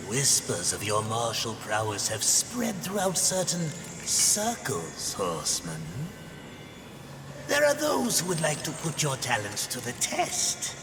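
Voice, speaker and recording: A man's voice: mid-range voice, full character voice. A male actor playing a sly, mock-polite demon merchant in a video game, theatrical and mischievous. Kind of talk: video game character acting. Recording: long reverb, music behind.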